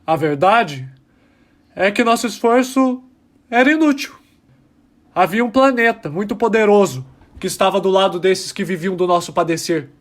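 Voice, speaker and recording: An actor playing an embittered alien rebel miner, testifying with impassioned, dramatic pauses in an audio drama. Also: male voice, high-pitched, natural voice. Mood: anguished, dismayed. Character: embittered male alien rebel miner testifying about his oppressed people.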